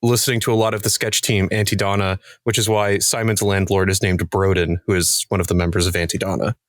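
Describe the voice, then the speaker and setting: A man's voice: deep voice, little pitch movement, quick pace, dry recording, clean studio sound. A man talking casually as a cast member in a podcast panel, telling stories with acted-out asides. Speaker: podcast cast member discussing their show.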